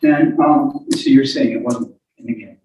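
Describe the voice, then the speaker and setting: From a distance in a heavily reverberant room, a man puts questions to a witness. He is careful and persistent, restarting his phrases haltingly as he builds each courtroom question. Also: medium pitch, a little mellow, slight background noise. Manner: halting, repeats openings, careful but persistent. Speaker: questioner cross-examining a witness at a court hearing. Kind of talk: courtroom cross-examination. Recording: very echoey room, distant mic.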